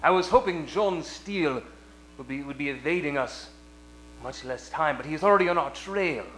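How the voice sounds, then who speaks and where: Theatrical foreign villain, bright and animated. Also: male voice, on the high side, normal speed. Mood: scheming. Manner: theatrical, pompous, grandiloquent. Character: scheming lovelorn foreign villain with a slipping disguise. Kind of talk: comedic old-time radio play acting. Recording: roomy live stage sound, faint music.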